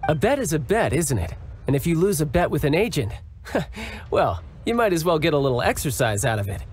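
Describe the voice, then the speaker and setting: A suave, playful young warrior. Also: male voice, fairly high pitch, sing-song, brisk, a little hiss or hum. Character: charming confident young male warrior, big brother. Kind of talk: video game dub. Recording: game audio with loud music.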